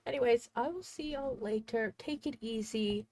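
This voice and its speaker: A woman's voice: a little high, moderate pace, background noise. Congested chatty woman streamer. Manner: rambling, chatty, thinks aloud. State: congested, sneezing. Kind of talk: casual livestream chat.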